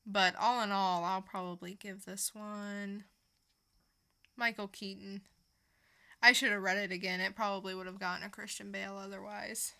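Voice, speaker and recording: A woman's voice: medium pitch, little pitch movement, moderate pace, creaky, pushed and bright. A woman co-hosting a podcast, giving casual, informal opinions in a review chat. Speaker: podcast co-host.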